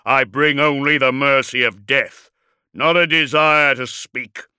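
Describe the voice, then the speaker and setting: Slow, booming, wrathful war machine. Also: male voice, lively intonation, pushed and bright, no room sound, clean studio sound, heavily acted. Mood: roaring defiance. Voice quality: booming, roaring. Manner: slow, declamatory, indignant. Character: ancient armoured warrior in a dreadnought war machine, wrathful and proud. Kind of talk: audiobook character acting.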